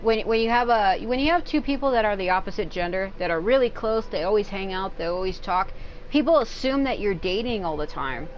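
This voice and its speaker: A woman's voice: medium pitch, expressive, fairly quick, a little hiss or hum, low-quality recording. Profane rambling woman streamer. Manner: frank and profane, rambling run-on talk; breaks into loud silly outbursts and laughter. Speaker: game streamer. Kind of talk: casual rambling chat while gaming.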